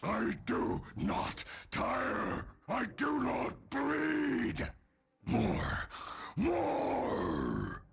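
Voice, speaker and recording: A man's voice: extremely high pitch, wildly swooping pitch, drawn-out words, exaggerated voice. A man voicing a hulking, savage undead warlord for a video game, in a guttural, menacing growl full of war cries. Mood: bloodthirsty. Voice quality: gravelly, guttural, husky growl. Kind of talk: video game character voice lines.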